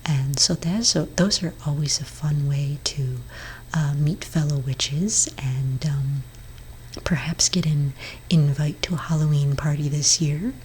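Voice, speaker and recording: Woman, low-pitched, breathy near-whisper; gentle therapist roleplay for ASMR, reassuring and hesitant, faint ambience behind. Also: unhurried, slight background noise. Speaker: ASMR performer role-playing a therapist. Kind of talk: soft-spoken ASMR roleplay.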